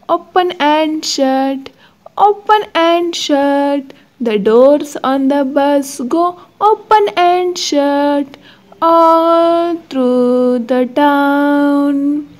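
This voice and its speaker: A woman's voice: high-pitched, extremely slow, in a large hall. A woman singing nursery rhymes for children in a repetitive sing-along delivery. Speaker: children's song singer. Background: faint backing music.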